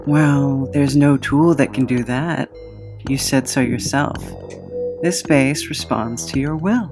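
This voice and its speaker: An actress playing a defiant, vengeful heroine in an audio drama, with intense, deliberate, dramatic delivery. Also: female voice, very deep voice, music in the background, full character voice. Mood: cold vengeance.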